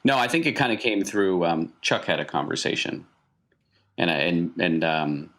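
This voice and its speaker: Male game master, slightly low register, improvised tabletop narration. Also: animated, normal speed, a bit bright.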